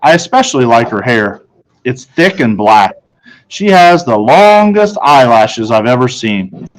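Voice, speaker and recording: A male reader with a medium-pitched voice reads a children's novel aloud. He narrates in the first person, in a storybook style. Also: big pitch swings, measured pace, poor audio.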